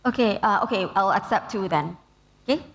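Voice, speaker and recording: A woman's voice: mid-range voice, expressive, a little fast, a bit bright, dull audio. A Malaysian woman moderating a conference panel informally, in short organising remarks.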